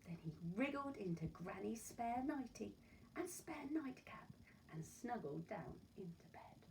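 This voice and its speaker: A woman reading a children's story aloud in an expressive, dramatic and deliberate narrating style. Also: a little high, gravelly. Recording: voice far from mic, noisy room.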